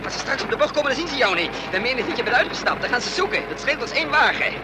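An actor playing a bold, quick-witted fugitive in a Dutch radio play, urgent and commanding. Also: male voice, extremely high pitch, sing-song. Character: bold hunted fugitive without memory, quick-witted man of action. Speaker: lead actor and first-person narrator. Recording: noisy radio play recording with vehicle sounds.

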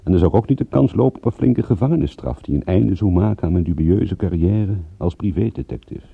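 An actor playing a wry, world-weary private detective in a radio drama, sardonic, with a gravelly, husky voice. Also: male voice, low-pitched, expressive, brisk, no room sound, exaggerated voice. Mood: wry, reflective. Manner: sardonic, dry-witted. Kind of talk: radio drama narration and dialogue. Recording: muffled, phone-like old radio recording.

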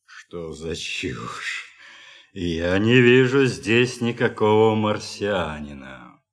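An actor in an audio drama playing a gruff, skeptical astronaut crewmate. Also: male voice, fairly low pitch, lively intonation, extremely slow. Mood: incredulous. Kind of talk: Russian audio drama acting.

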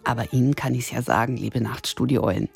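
A woman's voice: extremely low pitch, fairly even intonation, fairly quick, gravelly, breathy edge, faint music behind. A woman of thirty-nine delivering an ironic, self-mocking scripted radio monologue in the first person. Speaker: radio columnist and presenter.

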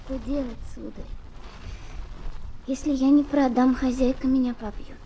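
A woman's voice: high-pitched, steady tone, normal speed, dark tone, a little hiss or hum. An actress playing a timid, poor little flower-seller girl, meek and plaintive, in a children's radio play. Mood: pleading.

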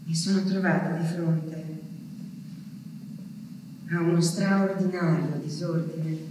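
A distant, heavily echoing hall carries an anguished wife waiting for her husband to come back from war. She speaks her diary as a stage monologue, in short, deliberate fragments. The recording is noisy and far from the microphone. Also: fairly low pitch. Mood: grave, reflective. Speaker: stage actress performing a monologue. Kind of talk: dramatic theatrical diary monologue.

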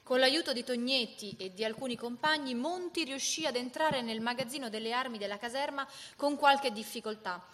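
Higher-voiced female radio narrator. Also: normal speed, few pauses, fairly bright tone. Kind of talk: scripted dramatic historical narration.

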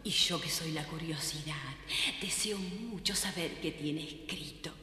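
A woman's voice: deep voice, bright, forceful tone. A woman playing curiosity as a sly, coaxing spirit in an audio storybook, insinuating and echoing. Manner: slow, insinuating urging. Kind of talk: scripted audio storybook acting. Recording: echoing with long reverb.

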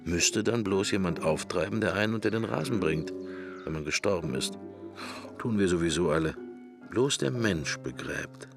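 Gravelly, brooding man in private thought. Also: low-pitched, expressive, normal speed, music in the background. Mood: wry musing. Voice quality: gravelly, husky. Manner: murmured, wandering inner monologue in fragments. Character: brooding, wry middle-aged man musing on death. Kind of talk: radio drama interior monologue. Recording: roomless, very dry studio sound.

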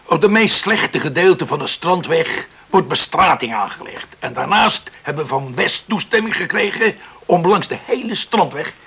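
Formal committee man in a radio play, earnest, creaky and raspy, pitched high. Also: animated, normal speed, sharp tone, heavily acted. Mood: persuasive. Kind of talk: radio drama acting.

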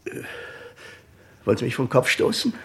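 An actor playing an anxious, evasive supermarket owner in a radio crime play, halting and stammering as he defends himself. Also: natural voice. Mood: defensive.

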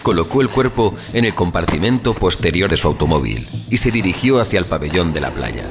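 A man's voice: sits low, lively intonation, brisk, noisy background. A man narrating a scripted story in a dramatic audio fiction style.

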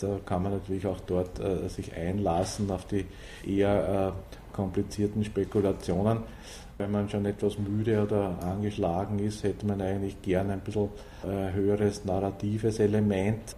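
In a roomy, echoing space, a man speaks in a dark, mellow voice. He gives thoughtful interview answers in formal, bookish phrasing, pitched fairly low. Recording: roomy echo with faint ambient noise.

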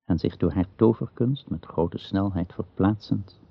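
A dry, dull radio recording of a male narrator. His very deep, gravelly voice tells an archaic tale in a solemn, measured way. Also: dry recording. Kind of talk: scripted radio drama narration, archaic storytelling.

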